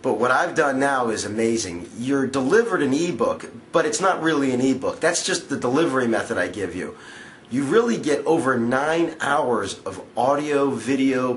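An eager young man pitches his own course straight to the camera, chatty and upbeat, often stumbling and correcting himself mid-sentence. His voice sits at an ordinary middle pitch. Faint hiss and room sound hang behind him. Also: a bit bright. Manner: enthusiastic, conversational, with self-corrections. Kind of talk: direct-to-camera sales pitch. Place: indoor room, seated on a patterned couch.

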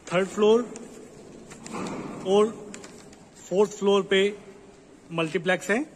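A man giving a slow, explanatory walkthrough tour of an unfinished commercial building, pointing out each floor. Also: sits high, fairly even intonation. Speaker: property dealer giving a site tour. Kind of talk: walkthrough property tour narration. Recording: echoey, roomy building with ambient noise.

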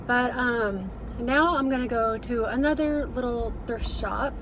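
A woman's voice: a little high, unhurried, background noise. A middle-aged woman chatting casually to viewers in a rambling, chatty way, recorded inside a car. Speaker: vlogger, thrift reseller.

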